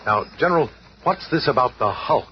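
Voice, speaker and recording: An assured scientist leader played by a man speaks at middle pitch with lively intonation. It is 1970s radio drama on a slightly muffled recording. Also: moderate pace, close to an everyday voice. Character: calm, authoritative scientist leader of a hero team. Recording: muffled old radio recording, faint noise.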